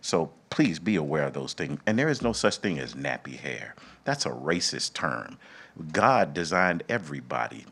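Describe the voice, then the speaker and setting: A man's voice: low voice, a bit bright. A man speaking informally to an audience as the host of an event.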